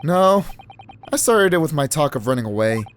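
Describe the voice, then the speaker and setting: A man voicing a gentle, proper young woman assistant with courteous, formal phrasing. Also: a little high, sing-song, moderate pace, slight background noise. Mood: polite.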